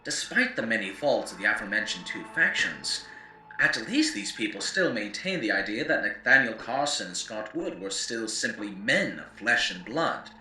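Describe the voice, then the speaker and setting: A man's voice: on the low side, very animated, normal speed, pushed and bright, exaggerated voice. A man playing a wry, opinionated post-apocalyptic radio host, narrating scripted lore in a theatrical, emphatic lecturing way. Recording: roomy, distant mic, faint background noise.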